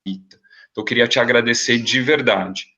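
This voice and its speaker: A man's voice: mid-range voice, moderate pace, pushed and bright. A man organizing a research seminar, giving earnest, hesitant thanks on a video call. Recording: dull lo-fi video call audio.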